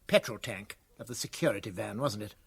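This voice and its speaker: A man playing a well-spoken elderly English prison governor in a comic radio play, polite, understated and gently pompous. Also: lowish voice, a little fast, slightly rough. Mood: quietly triumphant.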